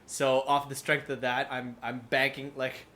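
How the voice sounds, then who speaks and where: A man's voice: mid-range voice, monotone, a little slow, bright, forceful tone. A man hosting a casual film podcast, chatting in a jokey, playful way. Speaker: podcast host. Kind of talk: casual chat about films. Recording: roomy echo, faint background noise.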